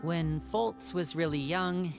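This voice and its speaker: A woman playing a composed, patient and protective young woman in a video game, speaking in a measured, explanatory way. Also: on the low side, over music. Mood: calm, explaining.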